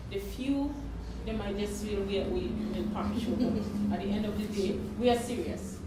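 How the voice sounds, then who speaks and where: A woman with a medium-pitched voice speaks earnestly in a group discussion. Her voice comes from away from the mic, in a very reverberant room with clear noise. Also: normal speed. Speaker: community member speaking at a gathering. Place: echoey indoor room. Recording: very echoey room, distant mic, noisy.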